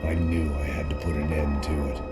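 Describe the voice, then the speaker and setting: An actor playing a weary, hard-bitten ex-cop narrating in a sardonic noir voice-over. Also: male voice, extremely low pitch, flat delivery, fairly quick, over loud music. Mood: grim.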